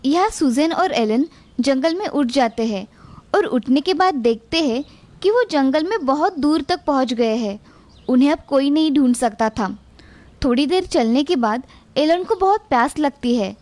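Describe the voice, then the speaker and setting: A woman narrating an animated children's story in a steady, clear storytelling manner. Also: high-pitched, measured pace.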